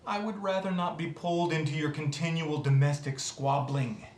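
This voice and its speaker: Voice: mid-range voice, steady tone, moderate pace, a little mellow, echoey room, a little hiss or hum, lightly acted. An actor playing a witty, weary literature professor with arch, emphatic, theatrical diction in a web series. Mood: exasperated, sardonic. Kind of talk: scripted web series acting.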